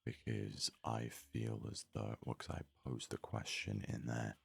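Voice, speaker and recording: A man softly narrating an ASMR video game let's play, rambling and thinking aloud, close to a sensitive microphone. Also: rumbling low, little pitch movement, a little slow, creaky, slightly rough. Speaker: gaming video host. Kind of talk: whispery soft ASMR let's play commentary. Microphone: close, highly sensitive microphone.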